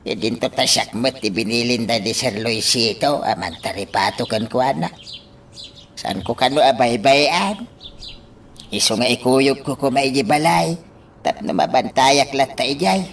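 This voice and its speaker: A man's voice: medium pitch, moderate pace, gravelly, a bit bright, a little hiss or hum. A man acting an exasperated, pleading character in an Ilocano radio drama.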